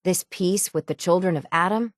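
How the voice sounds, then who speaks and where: A woman playing a gruff, world-weary, sardonic island sailor in a video game, with salty, plainspoken folksy phrasing. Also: fairly low pitch, normal speed, dry recording, clean studio sound, natural voice. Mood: gruff, wry. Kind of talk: video game character dialogue.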